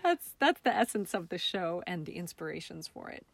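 A woman being interviewed as a theatre artist, speaking in a reflective, expressive, conversational storytelling way. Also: fairly low pitch, fairly quick, vocal fry.

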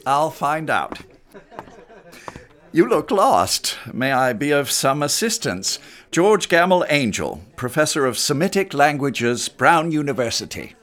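A man's voice: on the high side, very animated, unhurried, fairly bright tone. An actor playing a genial, courteous university professor in a period radio drama, urbane and hospitable in his manner. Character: genial, courteous Ivy League professor of languages.

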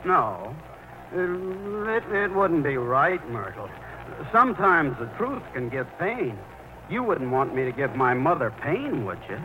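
A man's voice: on the high side, very animated, unhurried. An actor playing a baffled, timid thirty-eight-year-old mama's boy in old radio comedy, hesitant and earnest. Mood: anxious, evasive. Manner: hesitant, earnest, stammering nervously. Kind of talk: 1940s radio comedy acting. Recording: muffled, noisy old radio broadcast.

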